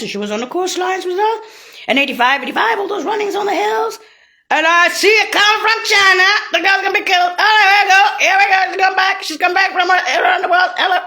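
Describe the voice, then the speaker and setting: A woman talking alone in a rambling, profane rant that jumps between topics and breaks into sudden shouts. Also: very high-pitched. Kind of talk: rambling solo monologue.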